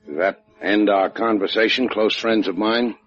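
A man's voice: lowish voice, normal speed, fairly bright tone, close to an everyday voice. A man playing a tough, wry boat skipper, terse and sardonic, in a 1950s radio drama. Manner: terse, hard-boiled, sardonic. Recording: dull old radio recording with music.

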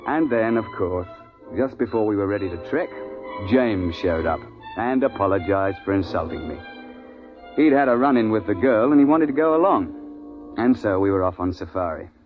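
Deep-voiced, gruff safari guide played by an actor. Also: male voice, animated, close to an everyday voice. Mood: matter-of-fact storytelling. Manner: brisk, colloquial, authoritative. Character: gruff, no-nonsense British big-game safari guide recounting his hunt. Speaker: narrator and lead character. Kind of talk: 1950s radio drama acting and narration. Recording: tinny 1950s radio broadcast, music behind.

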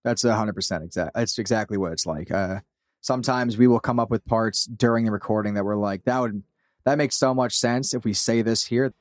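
A man's voice: lowish voice, a little fast. A man being interviewed as a podcast creator, talking casually and self-deprecatingly, often quoting people as he goes. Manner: informal, self-deprecating, quotes people as he talks.

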